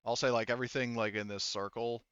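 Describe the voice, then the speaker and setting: A man's voice: medium pitch, steady tone, quick pace, fairly bright tone, smooth, no echo, lo-fi sound. A man acting as game master, talking through a tabletop roleplaying scene informally and thinking aloud. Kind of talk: casual tabletop roleplaying narration and rules talk.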